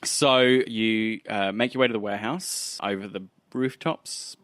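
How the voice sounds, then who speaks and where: A man's voice: fairly low pitch, unhurried, a bit bright, dry recording, clean studio sound. A man narrating a tabletop roleplay game as game master, descriptive and wry.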